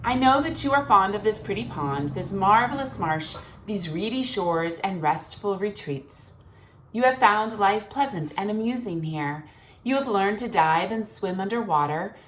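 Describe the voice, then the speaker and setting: A woman's voice: mid-range voice, animated, measured pace, slight background noise, natural voice, low-quality recording. A woman reading aloud as a long-winded, grandiose father swan in a children's story, speaking with theatrical grandeur. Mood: grandiloquent.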